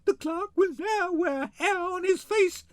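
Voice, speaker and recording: A man voicing a sharp-tongued, fiery young wife who scolds in quick, theatrical Shakespearean verse. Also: extremely high pitch, voice pushed up. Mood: scolding.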